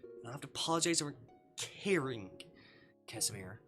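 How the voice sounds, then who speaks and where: A man plays a caring, slightly wary visual novel protagonist. The talk is informal, frank and halting, in a bright voice, with light music behind it. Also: mid-range voice, expressive, natural voice. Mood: frank reassurance.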